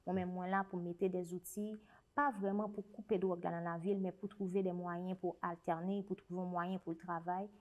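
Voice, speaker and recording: A woman's voice: on the low side, flat delivery, moderate pace, darkish tone, highs cut off. A young adult woman with a Haitian French accent, a guest speaking earnestly and reflectively in a conversational interview. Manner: earnest, reflective, with frequent restarts. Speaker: guest, writer and special educator.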